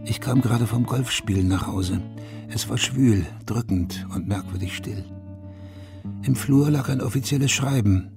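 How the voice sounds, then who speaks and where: A man's voice: on the low side, vocal fry. An actor playing a reflective, haunted war veteran and writer in his forties, narrating intimately in a radio drama. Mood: wry, reflective. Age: middle-aged. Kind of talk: German radio drama first-person narration. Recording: studio radio drama over guitar music.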